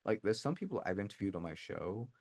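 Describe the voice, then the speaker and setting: A middle-aged man from Tanzania, chatting as a podcast guest. His voice sits low. He talks in an animated way and acts out the messages he quotes. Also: quick pace. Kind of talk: casual interview chat.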